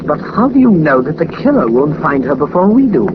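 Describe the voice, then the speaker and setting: Excitable high-voiced deferential sidekick. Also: male voice, fairly quick. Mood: puzzled, surprised. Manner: polite, questioning, excitable. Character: deferential, easily startled loyal sidekick to the detective. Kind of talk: 1940s radio drama acting. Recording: muffled, noisy 1940s radio recording.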